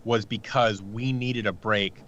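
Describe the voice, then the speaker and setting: A man's voice: fairly low pitch, steady tone, measured pace, a bit bright, slight background noise. A man speaking candidly and emphatically as an interview guest, telling anecdotes in a conversational way. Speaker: podcast guest, audio drama producer. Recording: dull, lo-fi call audio.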